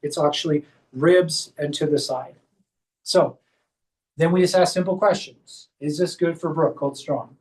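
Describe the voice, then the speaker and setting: A high-pitched man talks a small group through a hands-on demonstration at a clinic workshop, explaining each step as he goes. His voice is picked up from some distance, with the room around it. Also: steady tone, measured pace, darkish tone. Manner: explains step by step while guiding a volunteer. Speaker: chiropractor leading a workshop.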